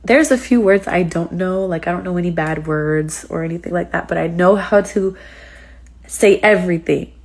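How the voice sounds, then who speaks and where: A woman's voice: fairly low pitch, moderate pace. A congested woman from the United States chatting casually on a live stream, rambling with lots of "like" and "um". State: congested, sick with sinus trouble. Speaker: streamer answering chat.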